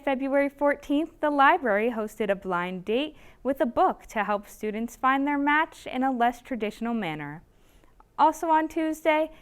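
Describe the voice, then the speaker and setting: A young woman anchoring a student newscast with a bright, upbeat read. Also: a little high, clear, resonant tone. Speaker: news anchor.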